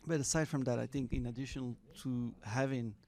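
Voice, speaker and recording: A man from Morocco, a guest entrepreneur talking casually in an interview, halting and repeating words, laughing often. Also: mid-range voice, steady tone, dark tone. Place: Miami.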